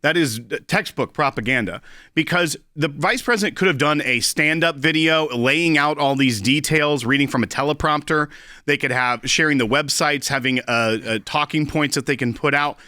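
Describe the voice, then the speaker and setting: A man's voice: a little high, moderate pace, bright, forceful tone, no echo, studio quality. A man giving emphatic political commentary as a co-host, driving key points home by repetition. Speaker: talk show co-host.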